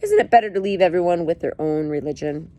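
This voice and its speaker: Woman reading a jovial English gentleman, hearty, skeptical, read-aloud storybook. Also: on the low side, animated, quick pace, no room sound, lightly acted. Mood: amused.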